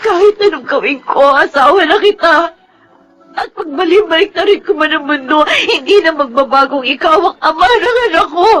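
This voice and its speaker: A woman's voice: very high-pitched, lively intonation, moderate pace, fairly bright tone, faint music behind. A woman with a Filipino accent acting an anguished, resentful young wife in a radio drama, crying as she speaks. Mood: sobbing regret.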